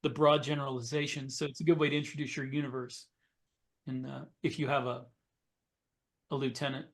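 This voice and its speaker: A man's voice: mid-range voice, level tone, brisk, vocal fry. A middle-aged man, a podcast co-host, explaining things in a casual conversational way.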